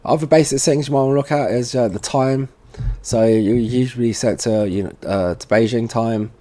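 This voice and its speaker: A man giving an informal software tutorial, thinking aloud as he works at a computer. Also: mid-range voice, normal speed. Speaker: tutorial presenter for a security products seller. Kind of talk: casual software walkthrough narration.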